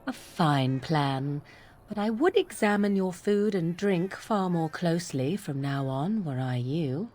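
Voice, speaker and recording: Wary adventurer, lowish voice, dry, formal, sardonic, video game companion. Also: female voice, expressive, measured pace, a little mellow.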